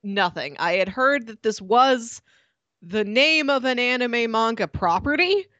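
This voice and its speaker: Woman, podcast co-host, casual chat, laughs easily, bouncy pitch, dry sound. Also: animated, unhurried, pushed and bright, dry recording, highs cut off. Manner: laughs easily, short reactive replies.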